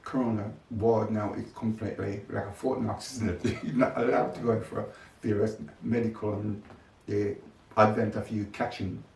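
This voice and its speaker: A man's voice: lowish voice, flat delivery, moderate pace, creaky, hardly any pauses, darkish tone. An older man being interviewed, talking reflectively about his experiences in his own words. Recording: echoey, distant mic, faint noise.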